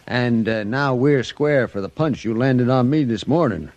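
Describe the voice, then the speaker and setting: A man's voice: mid-range voice, expressive, a little slow, a little mellow, highs cut off. A man playing a proud, blunt, hot-headed westerner in a 1950s radio drama. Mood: wry. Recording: old 1950s radio broadcast recording.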